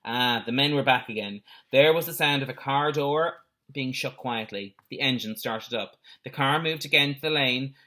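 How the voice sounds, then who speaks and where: A man's voice: mid-range voice, moderate pace. A man reading a children's adventure story aloud like a teacher, expressively, with the odd explanatory aside. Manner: animated character voices with explanatory asides.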